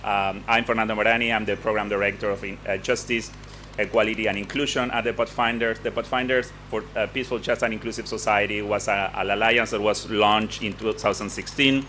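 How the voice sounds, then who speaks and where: A lowish, forceful male voice with an Argentine accent, that of a native Spanish speaker. He gives a semi-formal conference speech off the cuff, repeating words as he hunts for phrasing. There is some faint noise behind him. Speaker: program director giving a launch speech. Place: conference event with participants.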